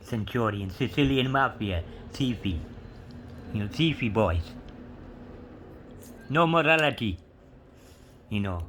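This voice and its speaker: A man's voice: mid-range voice, fairly bright tone, slight background noise. A man rambling through a coin show-and-tell, peppering his talk with "you know" and spelling out inscriptions letter by letter. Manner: meandering, full of "you know" and "yeah", reads inscriptions letter by letter. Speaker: coin collector showing coins.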